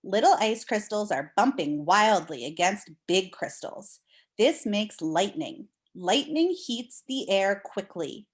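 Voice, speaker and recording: A woman teacher leading a read-aloud lesson for young children, explaining things simply and reading with deliberate expression. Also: mid-range voice, animated.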